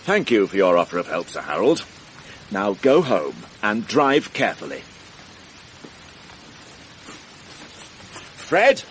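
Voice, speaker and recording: An actor playing a weary, dry-witted police inspector in a radio drama, clipped and mildly sardonic. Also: male voice, fairly low pitch, very animated, moderate pace, a little hiss or hum, low-quality recording. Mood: stern, official.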